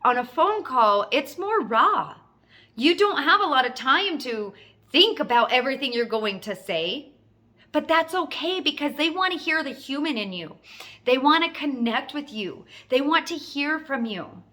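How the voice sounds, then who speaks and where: A woman's voice: sits high, sing-song, unhurried, pushed and bright. A woman giving an informal sales training talk as a coach, emphatic and instructive, repeating key phrases for stress. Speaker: network marketing coach.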